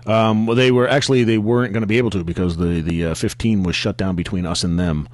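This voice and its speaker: Laid-back deep-voiced male podcast host. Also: fairly even intonation, fast, no echo. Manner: laid-back, agreeable, trails off often. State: fighting off a cold. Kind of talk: casual podcast chat.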